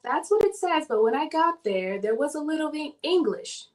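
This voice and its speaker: A woman from the southern United States, a guest in a casual interview, telling her story in an animated way and laughing often. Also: high-pitched, normal speed.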